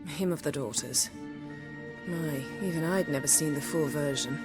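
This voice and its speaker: An actress playing a proud, commanding, sardonic sorceress in a video game, with formal and imperious phrasing. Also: female voice, deep voice, moderate pace, loud music behind the voice, lightly acted. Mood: cool, sardonic. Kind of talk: video game dialogue acting.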